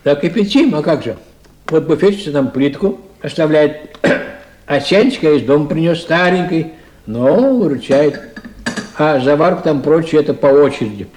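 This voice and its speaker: A man's voice: on the high side, animated, normal speed, darkish tone, a little hiss or hum, close to an everyday voice. A man playing a kindly older policeman in a radio drama, folksy, plain-spoken and a little fussing. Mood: homely, chatty. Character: kindly, homely old policeman on night duty. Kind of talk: Soviet radio drama acting.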